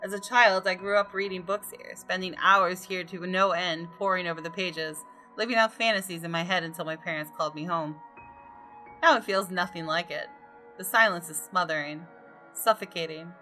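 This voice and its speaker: A woman reading visual novel narration aloud with deliberate, dramatic storytelling. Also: fairly low pitch, sharp tone. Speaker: gaming video host. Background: music.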